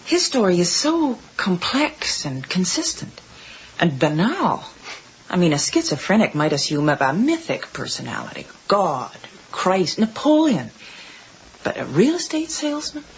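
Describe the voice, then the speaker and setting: An actress playing an earnest, methodical woman psychiatrist, speaking in a measured, probing way in a radio drama. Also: lowish voice, very animated, a little hiss or hum. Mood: puzzled, thoughtful. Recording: dull 1980s radio broadcast with music.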